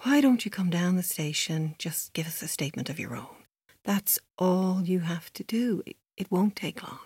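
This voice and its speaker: Low, husky Irish policewoman, gently probing. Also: expressive, moderate pace, no echo. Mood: gently coaxing. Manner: reassuring, probing. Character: steady, coaxing female Irish police officer. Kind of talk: naturalistic audio drama acting.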